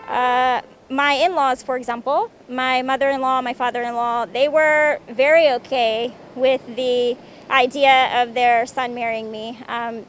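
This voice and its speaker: A woman answering casually as an interviewee. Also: high-pitched. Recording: faint street traffic noise.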